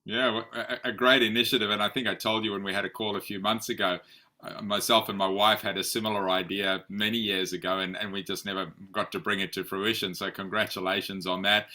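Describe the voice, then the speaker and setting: A man's voice: on the low side, little pitch movement, brisk, sharp tone. A middle-aged man hosting an interview podcast, polite and friendly, often repeating words as he starts a sentence. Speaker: podcast host.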